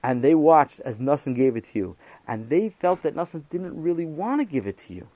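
A man's voice: on the high side, very animated, a little fast, warm tone, no room sound. A man playing a stern, patient religious teacher who questions his pupils firmly. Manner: firm, questioning.

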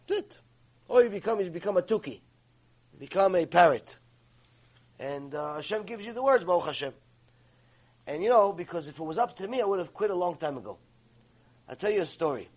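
A middle-aged man giving a Torah lecture, animated and often repeating words as he talks. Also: high voice, brisk, pauses often, husky. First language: Hebrew. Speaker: Torah teacher.

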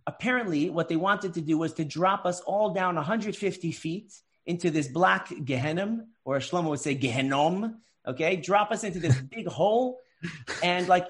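A man telling a story in an animated way, often repeating words as he speaks. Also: a little high. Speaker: guest speaker. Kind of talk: storytelling talk.

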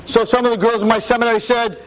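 Loud older teacher guy lecturing a crowd on a mic, emphatic, keeps asking questions. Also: very high voice, flat delivery, very fast, rough recording. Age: 60s. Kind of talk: live lecture to an audience. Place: talk in front of an audience. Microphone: handheld or stand mic.

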